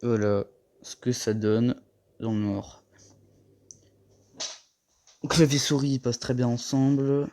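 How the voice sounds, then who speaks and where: Young man, casual gaming vlogger, rambling, a bit hoarse, warm mellow voice. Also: mid-range voice, slow, pauses often, a little hiss or hum. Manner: rambling, slangy and self-mocking. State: slightly hoarse from a cold. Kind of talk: casual setup tour.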